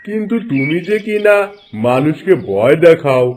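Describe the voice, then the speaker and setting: A man's voice: a little high, animated, moderate pace, darkish tone, a little hiss or hum, natural voice. A man playing a stern, suspicious village headman in a cartoon, questioning and moralising. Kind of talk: cartoon voice acting.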